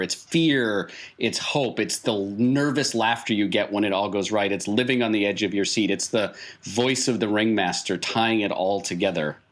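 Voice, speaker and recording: A man acting as game master, improvising explanations in a tabletop story game with emphatic, repeated phrasing. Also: lowish voice.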